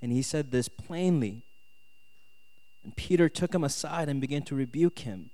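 A man's voice: medium pitch, normal speed, dark tone. A man from South Korea who moved to the US as a child, preaching to students in a conversational sermon style, often checking in with his listeners. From South Korea, moved to the US at ten. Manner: conversational, punctuated with "right?" and "okay?". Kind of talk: sermon with scripture reading and personal testimony. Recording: echoey hall with long reverb.

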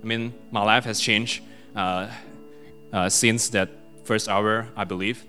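A young man with a Malaysian accent shares his testimony in a relaxed, chatty way, often laughing at himself between thoughts. His voice is bright and forward. Faint instrumental music plays behind him. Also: on the low side, takes it slowly. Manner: casual and self-deprecating, laughs often, many fillers. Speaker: guest speaker. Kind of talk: personal testimony.

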